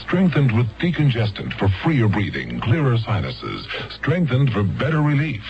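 A man's voice: mid-range voice, huge pitch swings, moderate pace, slight background noise. A man reading a 1970s radio commercial as a professional announcer. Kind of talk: 1970s radio advertising pitch. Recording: dull 1970s radio recording with music.

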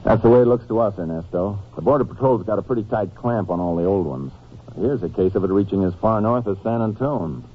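A man acting a steady, shrewd Texas Ranger with an American accent in an old-time radio drama, in clipped, folksy Western talk. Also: lowish voice, brisk, breathy edge. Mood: businesslike. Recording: noisy, narrow-band old radio recording.

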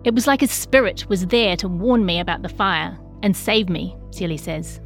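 A woman's voice: fairly low pitch, moderate pace, soft background music. A woman with a New Zealand accent reading a scripted news feature as a journalist. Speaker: journalist narrating a feature story. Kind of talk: scripted narration.

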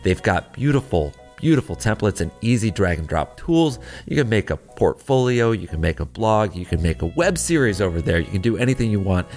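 A man's voice: fairly low pitch, very animated, fairly quick, hardly any pauses, darkish tone. A man podcast host reading a scripted sponsor ad with casual, folksy asides. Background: music.

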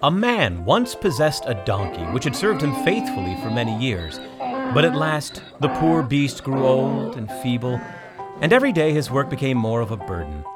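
A man reading a folk tale as a storybook narrator, in a measured, expressive way. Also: medium pitch, over loud music. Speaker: story reader.

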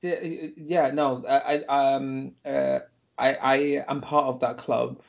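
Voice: mid-range voice, flat delivery, takes it slowly, gravelly. Playful jokey podcast host, lo-fi. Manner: playful, jokey, interrupts with quips. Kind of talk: casual podcast chat. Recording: dull lo-fi sound.

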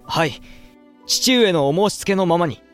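Earnest, animated young samurai heir. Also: male voice, high-pitched, moderate pace, soft background music. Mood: deferential concern. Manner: formal, emotional samurai speech. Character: earnest, frustrated young samurai heir, dutiful but resentful. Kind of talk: period audio drama acting.

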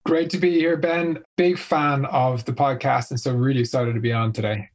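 A middle-aged man with an Irish accent talks through his working life in a reflective, measured way. His voice sits at an ordinary middle pitch, and he takes his time. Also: steady tone. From Ireland. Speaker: guest, marketing executive. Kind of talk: casual interview answers.

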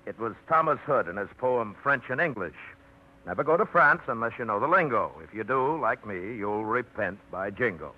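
An actor playing a blunt, well-read man who runs a truck line, dry and low-key, in a 1950s radio drama. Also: lively intonation, normal speed, lightly acted. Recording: tinny, hissy 1950s radio broadcast.

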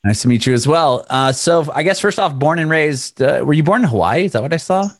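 A man's voice: on the high side, animated, brisk, dry recording, studio quality. A young man hosting a podcast. He reads a scripted intro and then chats casually with a guest, using laid-back slang. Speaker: podcast host. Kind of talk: read intro, then casual interview chat.

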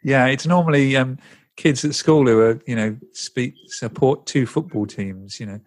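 A man's voice: medium pitch, normal speed. A man hosting a podcast interview, chatty and hesitant, with many fillers. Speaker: podcast host.

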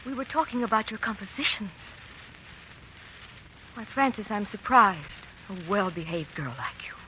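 An actress playing a prim, genteel schoolteacher, proper and instructive, in a 1940s radio drama. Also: female voice, medium pitch, lively intonation, normal speed, bright, forceful tone, rough recording. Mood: disapproving, shocked. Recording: vintage 1940s radio, faint noise.

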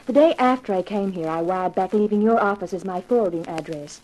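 A woman's voice: medium pitch, fairly quick, a little mellow, natural voice, poor audio. Woman acting an eager, inquisitive secretary. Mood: bright, businesslike. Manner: earnest, questioning. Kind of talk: 1950s radio drama acting.

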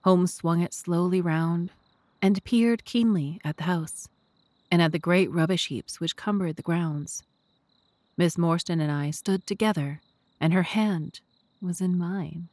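Low-voiced woman narrator, dry close sound. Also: darkish tone, no echo. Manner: measured, literary storytelling. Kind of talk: scripted first-person narration of a classic mystery audio drama.

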